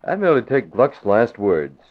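An actor playing a calm, confident newspaper publisher in a 1940s radio drama. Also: male voice, mid-range voice, normal speed. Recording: dull lo-fi old radio recording.

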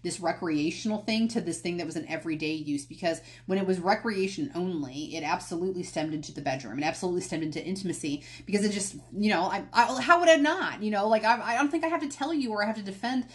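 A woman with a fairly low voice hosts a solo podcast, speaking in a candid, loose way. She rambles and goes off on small tangents. She often catches herself and restarts a phrase. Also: animated, fast, hardly any pauses. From United States. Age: adult, past college. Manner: candid, rambling, self-correcting. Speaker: podcast host. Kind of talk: casual solo podcast talk.

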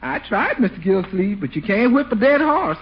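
An actress playing a cheerful, folksy household cook in an old radio comedy. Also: female voice, fairly high pitch, very animated, normal speed. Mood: matter-of-fact. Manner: folksy, exclamatory, playful. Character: cheerful, chatty household cook, a loyal woman. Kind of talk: 1940s radio comedy acting. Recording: noisy, dull old radio recording.

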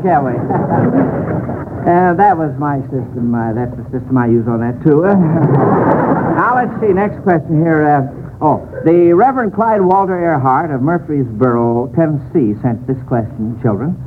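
A man's voice: fairly high pitch, animated, moderate pace. An American man hosting a 1940s radio quiz, encouraging the contestants. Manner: chuckles often, encouraging to contestants. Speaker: quiz show host. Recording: tinny, faintly noisy old radio broadcast.

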